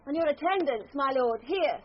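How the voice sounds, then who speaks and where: A youthful page with a fairly high voice, earnest and courteous. The delivery is restrained classical radio acting. The recording is slightly dull, with faint noise behind. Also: female voice, lively intonation, normal speed. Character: young woman disguised as a loyal page boy. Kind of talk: Shakespearean radio drama acting.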